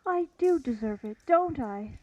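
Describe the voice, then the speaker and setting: A woman's voice: high voice, animated, measured pace, a little mellow, no room sound. A woman playing an earnest, anxious young scientist heroine in a melodramatic radio adventure serial. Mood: uneasy. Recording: dull, distant dry recording.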